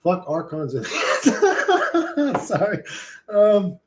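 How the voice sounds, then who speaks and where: A man's voice: sits high, huge pitch swings, very slow, a little hiss or hum. A man streaming live, chatting casually with his viewers, swearing freely and calling everyone bro. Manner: loose, profane, says "bro" a lot; rambling, reads and answers chat.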